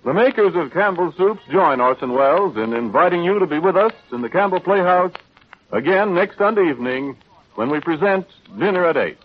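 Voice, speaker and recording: A male announcer from the golden age of radio speaks in a fairly high, very melodic voice. He sells courteously and with polish, in scripted sentences. The sound is the dulled band of a vintage broadcast. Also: narrow, tinny audio. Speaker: radio announcer and sponsor pitchman.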